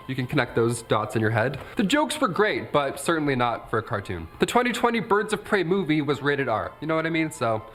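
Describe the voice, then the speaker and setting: An American man in his late twenties hosting a countdown video, mixing scripted commentary with joking, conversational asides. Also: on the high side. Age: late 20s. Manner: jokey, animated, conversational. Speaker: stand-up comic.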